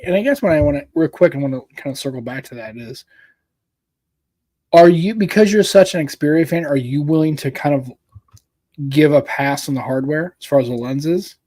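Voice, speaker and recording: A man's voice: fairly high pitch, moderate pace, no room sound. Rambling man in his forties, close dry mic. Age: 40s. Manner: rambling, animated, says "dude" often. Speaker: podcast host. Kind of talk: casual chat.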